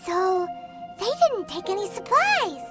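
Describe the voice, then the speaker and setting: A woman's voice: very high voice, big pitch swings, takes it slowly, over loud music, heavily acted. A woman voicing a small, curious, chatty childlike fairy companion in a video game, wondering aloud. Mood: curious, puzzled.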